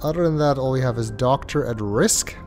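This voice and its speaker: A man talks casually through his gameplay, his voice stuffy and congested, often pausing with ums and uhs. Faint music plays under his talk. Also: mid-range voice, darkish tone. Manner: hesitant, with many ums and uhs. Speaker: let's play host.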